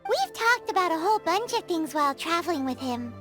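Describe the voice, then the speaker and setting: Game fairy sidekick: very high, squeaky, childlike, excitable, highly animated. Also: female voice, brisk. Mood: chatty. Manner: refers to self by name, exclamatory. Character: small excitable fairy companion girl, chirpy and curious. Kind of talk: video game cutscene dialogue. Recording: music behind the voice.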